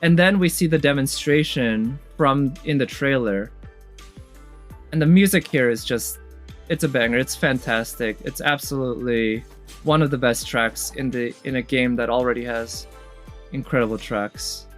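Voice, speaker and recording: A man's voice: mid-range voice, moderate pace. Enthusiastic man commentating over music. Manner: enthusiastic, thinking aloud in fragments. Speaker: streamer reviewing game trailers. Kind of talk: casual live commentary. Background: game trailer music.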